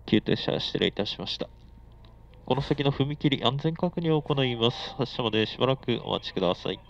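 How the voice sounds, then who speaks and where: A man's voice: medium pitch, expressive, moderate pace, gravelly. A man making formal, polite train announcements as the conductor, heard over an onboard speaker. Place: inside a moving commuter train. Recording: muffled onboard speaker with noise. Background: faint train and air-conditioning noise.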